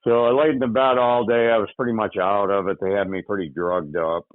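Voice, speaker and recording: A man from Michigan, an interview guest, recounting a hunting accident in casual, halting speech with frequent pauses. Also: on the low side, fairly even intonation, dry recording. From Michigan, USA. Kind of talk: casual spoken recollection. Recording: tinny phone line, dry.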